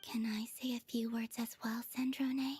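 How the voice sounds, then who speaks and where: A woman voicing a gentle, guileless young woman in a video game, with a soft, breathy, unhurried delivery. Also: on the high side, little pitch movement, a little hiss or hum, natural voice. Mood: gentle, reassuring. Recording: music behind the voice.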